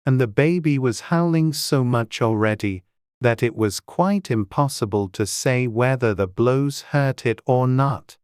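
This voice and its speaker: A man's voice: mid-range voice, a little slow, darkish tone, clean tone, no echo, studio quality. A man reading scripted storybook narration as an audiobook narrator.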